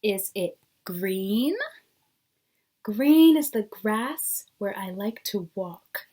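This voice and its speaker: A woman's voice: medium pitch, animated, drawn-out words, dark tone. A woman teacher reading a picture book aloud to young children, explaining things simply and asking them questions.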